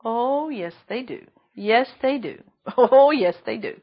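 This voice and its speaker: A woman preaching a sermon with a shrill voice, emphatic and repeating phrases for stress. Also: lively intonation, takes it slowly, husky, dull audio.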